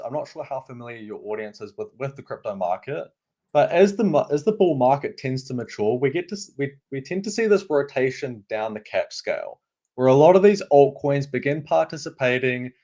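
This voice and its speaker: A young man from New Zealand with a New Zealand accent, a guest explaining markets in a relaxed, upbeat way. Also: medium pitch, a little fast. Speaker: guest analyst. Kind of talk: casual explanatory interview.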